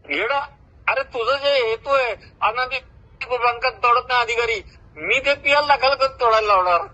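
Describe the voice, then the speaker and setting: A man's voice: very high-pitched, normal speed, bright, forceful tone. An older man with a Marathi accent from Maharashtra, talking boastfully and confrontationally at length on a recorded phone call. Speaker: retired government officer. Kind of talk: heated informal phone conversation.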